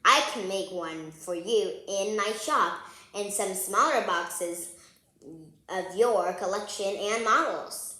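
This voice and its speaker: A woman's voice: medium pitch, slow pace, bright, forceful tone. A woman voicing a practical, encouraging father bear from a storybook, with a reassuring manner. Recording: echoey room.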